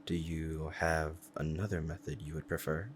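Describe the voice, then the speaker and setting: A man's voice: rumbling low, flat delivery, normal speed, slight background noise. A man playing a powerful demon devoted to a beloved master, speaking formally and earnestly in a romantic ASMR roleplay. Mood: hesitant, curious. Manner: formal archaic diction, earnest and reverent.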